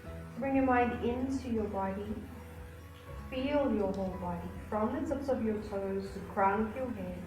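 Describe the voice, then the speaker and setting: Lady yoga teacher talking you into relaxation, soothing and unhurried, roomy echo, bit of music behind. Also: medium pitch. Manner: soothing, unhurried guidance with gentle pauses. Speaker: yoga instructor hosting the class. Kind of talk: guided yoga and relaxation instruction.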